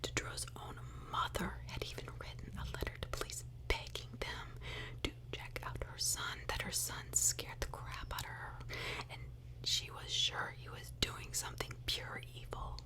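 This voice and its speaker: A woman telling true crime as ASMR in a breathy, unvoiced whisper, conversational and opinionated, with rhetorical questions. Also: normal speed, low-quality recording.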